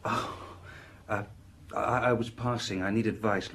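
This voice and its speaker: Halting, apologetic police inspector. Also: male voice, lowish voice, fairly even intonation, fairly bright tone, slight background noise. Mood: sheepish. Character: hesitant, deferential male police inspector seeking advice. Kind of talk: audio drama acting.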